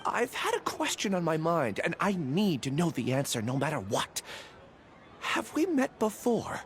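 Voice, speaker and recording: A timid, stammering young witness. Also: male voice, fairly high pitch, wildly swooping pitch, normal speed, slight background noise. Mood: nervous. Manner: hesitant, stammering.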